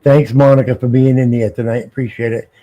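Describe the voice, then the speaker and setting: A man's voice: medium pitch, level tone, fairly quick, mellow. A man hosting a late-night online panel stream in an easygoing, folksy way. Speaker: host of a live panel stream. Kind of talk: casual live-stream chat.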